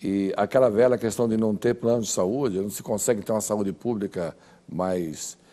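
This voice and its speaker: A man's voice: lowish voice, a little fast, dark tone. A Brazilian man speaking as a panelist on a TV show, commenting in a rambling way. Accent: Brazilian Portuguese. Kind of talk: TV studio panel discussion.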